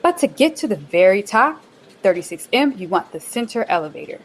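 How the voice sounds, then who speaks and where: An actress playing a bubbly, bossy office manager in a radio play, talking in a chirpy sing-song way. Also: female voice, mid-range voice, very animated, a little fast, slight background noise, close to an everyday voice. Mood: upbeat.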